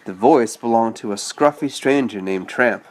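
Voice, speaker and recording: A man's voice: medium pitch, moderate pace. A man reading a children's story aloud and chatting patiently with a child, explaining as he goes. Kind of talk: read-aloud storytelling with casual asides to a child.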